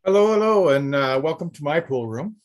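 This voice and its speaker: A man's voice: fairly high pitch, expressive, fairly quick. A man from Canada chatting casually and explaining things informally on a video call. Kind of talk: casual chat.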